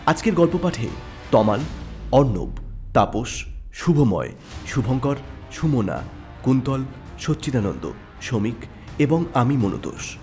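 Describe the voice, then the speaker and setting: A man's voice: medium pitch, a little mellow. A man narrating and presenting a scripted mystery story as its storyteller.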